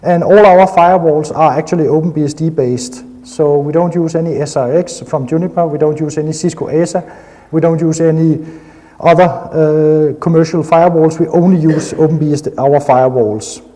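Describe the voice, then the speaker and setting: A man's voice: fairly high pitch, fairly even intonation, normal speed, mellow. Danish-accented man in a reverberant hall. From Denmark. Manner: enthusiastic, frequent hesitations. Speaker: conference speaker. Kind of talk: technical conference talk. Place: conference hall.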